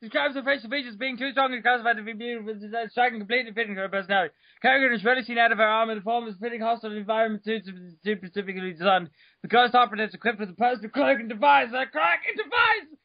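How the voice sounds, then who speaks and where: A man giving a mock-dramatic reading of an article, over the top, bursting into shouts and stumbling over words. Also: very high voice, racing, no room sound, highs cut off. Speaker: reader.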